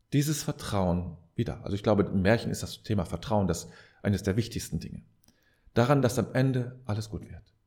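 A man's voice: mid-range voice, darkish tone. A man giving a reflective advice monologue as a podcast host, speaking straight to the listener in an earnest, preacher-like way and repeating key phrases for emphasis.

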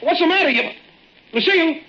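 An anxious, scolding friend, lively pitch, hissy old radio. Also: female voice, brisk. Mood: worried. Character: worried, fussing woman companion. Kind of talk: 1940s radio acting. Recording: dull old radio recording with hiss.

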